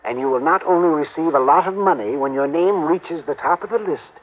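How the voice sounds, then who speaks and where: A man's voice: on the high side, fairly quick, a little hiss or hum, close to an everyday voice. An actor playing an erratic, wealthy old man in an old-time radio drama, fretful and stubborn, muttering, exclaiming and repeating himself. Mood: anxious, insistent. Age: older. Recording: muffled vintage radio recording.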